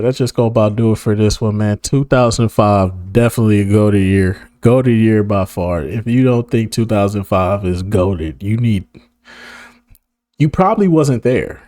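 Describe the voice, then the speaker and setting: A hoarse man of about thirty, talking casually to camera in a rambling, slang-heavy way and laughing often. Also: on the low side, moderate pace, mellow, dry recording. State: hoarse, losing his voice. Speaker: video creator. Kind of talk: casual reminiscing monologue to camera.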